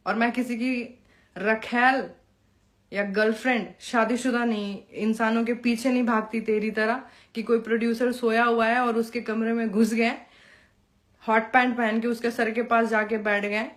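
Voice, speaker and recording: A woman's voice: on the high side, flat delivery, moderate pace. A young woman speaks informally to a live-stream audience. She is pointed and taunting, calling people out directly. English phrases slip into her talk. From Bihar, India. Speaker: Bhojpuri performer addressing followers on a live stream. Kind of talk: informal live-stream monologue, personal call-out.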